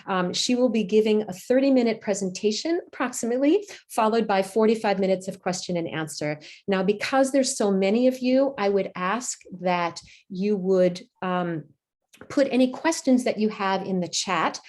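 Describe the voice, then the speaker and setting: Woman host, hesitant and filler-heavy, mid-pitched, video call. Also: normal speed, darkish tone. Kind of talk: webcast introduction.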